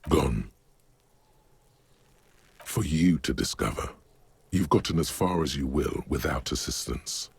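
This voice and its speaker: A man reading measured, ominous second-person narration for a video game. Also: extremely low pitch, lively intonation, creaky, slightly rough, no echo.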